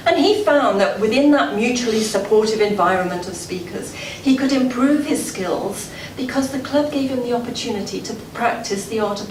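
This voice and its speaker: Distant, echoey woman giving a prepared speech. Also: fairly high pitch. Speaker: club president giving an after-dinner address. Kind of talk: prepared public speech, storytelling. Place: indoor gala dinner room before an audience. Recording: echoey room, far from mic, noisy.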